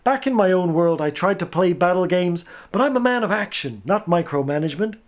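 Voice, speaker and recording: A man's voice: high voice, expressive, brisk, highs cut off, voice pushed up. A man voicing a brash, determined rock roadie turned battlefield general, speaking emphatically in a storybook reading. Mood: resigned.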